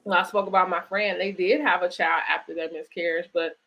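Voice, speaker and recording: A woman's voice: lowish voice, steady tone, fairly quick, bright, forceful tone, low-quality recording. A woman hosting a conversational live online interview, speaking in an encouraging, affirming way. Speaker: host interviewing an author.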